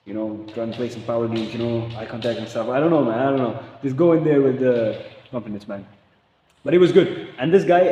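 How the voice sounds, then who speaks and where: Young man from Pakistan, casual vlogger, rambling and slangy, medium pitch, very echoey room, faint hum behind. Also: darkish tone, highs cut off. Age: young adult. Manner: rambling, slangy, says "bro" a lot, laughs often. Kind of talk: casual chat. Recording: echoey room with long reverb.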